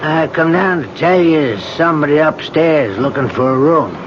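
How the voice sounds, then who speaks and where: A man's voice: on the high side, animated, normal speed, close to an everyday voice. An American actor playing a nosy, simple boarding-house handyman in a radio drama, folksy and inquisitive. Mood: innocent. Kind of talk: 1970s radio drama acting. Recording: dull, noisy 1970s radio broadcast recording.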